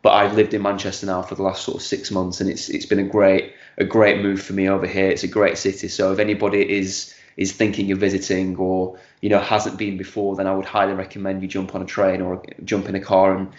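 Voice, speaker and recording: A man's voice: sits low, completely flat delivery, fairly quick, few pauses, roomy sound, highs cut off. A man, chatting as a podcast guest and often repeating words as he thinks. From northern England. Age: 40s. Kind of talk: casual interview chat.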